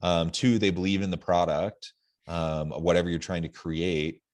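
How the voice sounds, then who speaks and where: A man with a deep voice hosts a podcast interview. He speaks conversationally and wordily, working through his thoughts aloud as he builds up to a question. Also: flat delivery, moderate pace. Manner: wordy, thinks aloud while framing questions.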